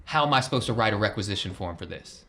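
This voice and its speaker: A man's voice: on the low side, expressive, quick pace, gravelly, bright, forceful tone, heavily acted. A man playing a weary, bitter crime boss who talks in a blunt, folksy, dismissive way in a tabletop game.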